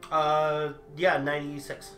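A man's voice: on the high side, unhurried, soft background music. A man in his thirties whose first language is Italian, arguing in a casual debate with lots of restarts. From grew up overseas, Italian at home. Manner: animated, gestures a lot, many restarts. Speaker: debater for the Harry Potter side. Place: small indoor room full of movie memorabilia. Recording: echoey room.

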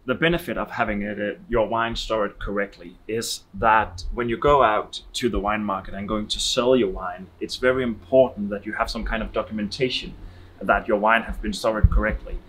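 Slightly husky man. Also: lowish voice, steady tone, moderate pace, a bit bright, rough recording. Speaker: wine investment expert. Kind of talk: promotional interview answers. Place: large indoor warehouse, walking.

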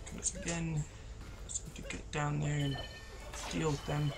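A young man talks through a game in a tired, hoarse voice that keeps dropping into gravelly fry. He rambles along, low-energy, with plenty of ums and restarts. Steady noise hums under his voice in this home recording. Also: normal speed. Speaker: gameplay commentator. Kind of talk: casual let's-play commentary.

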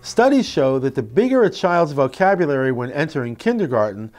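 A middle-aged man presenting instructional tips to parents in an expressive, emphatic, clearly phrased way. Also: on the high side, a little fast, faint music behind. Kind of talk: instructional narration to parents, with expressive read-aloud demonstrations. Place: indoor classroom. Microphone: lapel mic.

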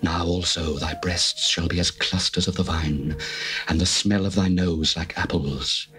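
A man voicing an adoring male lover addressing his bride, in a solemn, measured dramatized scripture reading. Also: sits low, steady tone, a bit bright, slightly rough, full character voice. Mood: tender. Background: faint music.

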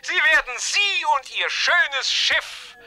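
An actor playing a gloating mad scientist villain in a science-fiction audio drama, theatrical and mock-polite, sneering and drawing out words. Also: male voice, extremely high pitch, expressive, takes it slowly, sharp tone, full character voice. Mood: gloating menace.

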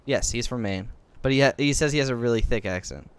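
A man's voice: medium pitch, fast talker, smooth. A man with a Philadelphia accent, streaming a game and chatting casually with teammates, joking and swearing when startled. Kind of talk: casual gaming chat.

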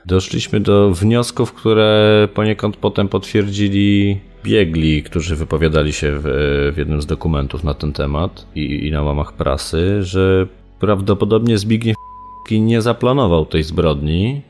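A man with a very deep voice talks in an unscripted, conversational way. He is measured and hesitant, like an interviewed journalist searching for words. Faint music plays underneath. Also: a little mellow. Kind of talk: casual spoken recollection.

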